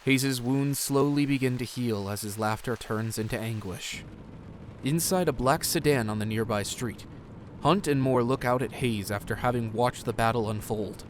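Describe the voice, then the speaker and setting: A man's voice: mid-range voice, moderate pace, a little hiss or hum. A man narrating an audio drama in scripted, present-tense prose.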